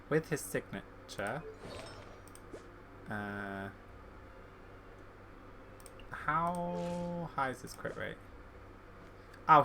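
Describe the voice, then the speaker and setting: A woman's voice: very deep voice, pushed and bright, slight background noise. A woman streaming a video game with casual, chatty, slangy commentary, reacting aloud as she plays.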